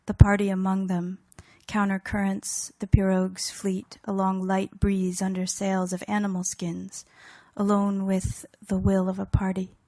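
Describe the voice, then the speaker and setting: A woman recites her poems with deliberate care, setting down short fragments and weighted pauses. Her pitch moves within a very narrow span, and her tone is soft-edged and mellow. The sound is close and dry. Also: completely flat delivery, dry recording. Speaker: poet reading own work. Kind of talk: poetry reading, measured recitation.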